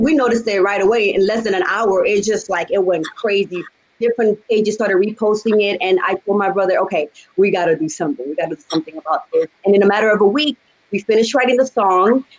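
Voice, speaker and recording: A lively young woman from the Dominican Republic tells her stories over a video call, laughing easily between them. Her voice sits at an ordinary pitch for a woman. The audio is a little dull. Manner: animated, gestures with her hands while talking. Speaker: guest singer. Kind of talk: casual video-call interview. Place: home studio.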